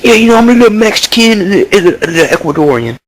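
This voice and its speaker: A man playing a dim young baseball prospect, slangy, rambling, cocky, fairly high voice. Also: big pitch swings, fast, rough recording. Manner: rambling, stalling with fillers, slangy. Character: dim-witted young male baseball prospect, deli-obsessed, Bronx swagger. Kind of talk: improvised mock interview.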